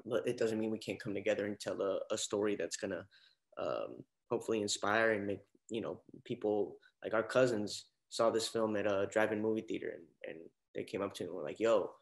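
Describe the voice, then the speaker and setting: Young actor guest, sincere, stumbles and repeats, on a video call. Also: male voice, lowish voice, steady tone, creaky. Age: young adult. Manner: halting, repeats words, earnest. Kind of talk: casual interview chat. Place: indoor room, video call.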